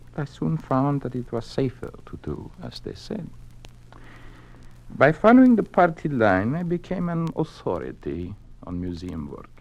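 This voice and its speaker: Troubled russian official in old radio play, gravelly, very expressive, formal and measured, bit noisy recording. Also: male voice, lowish voice, heavily acted. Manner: formal, measured, confiding speech. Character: earnest, cultured Russian communist museum official, secretly troubled. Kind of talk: mid-century radio drama acting.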